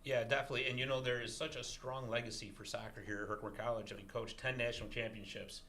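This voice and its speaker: A man hosting a college podcast in a studio, energetic and jokey with emphatic delivery. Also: mid-range voice, fast talker, a little hiss or hum. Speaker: podcast host. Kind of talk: upbeat interview hosting.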